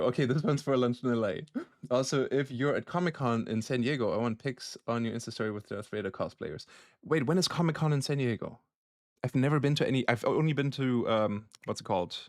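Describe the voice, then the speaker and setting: A man's voice: medium pitch, brisk, dry recording, clean studio sound. A man from Germany thinking aloud in a slangy, casual way as he demos a music plugin on a livestream, like a producer at work. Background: synthesizer sounds and music.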